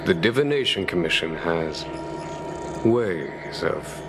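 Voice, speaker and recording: A low-voiced, courteous, commanding general. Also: male voice, very animated, creaky, noisy background, full character voice. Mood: gracious, assured. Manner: measured, formal, diplomatic. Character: calm, courteous, shrewd male general commanding a starship's knights. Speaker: military leader. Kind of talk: scripted video game dialogue.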